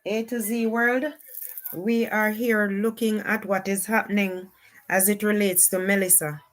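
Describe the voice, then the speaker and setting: A woman's voice: medium pitch, little pitch movement, rough recording. A woman with a Jamaican accent giving casual, unscripted live commentary as a streamer, often repeating her exclamations. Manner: unhurried, repetitive exclamations.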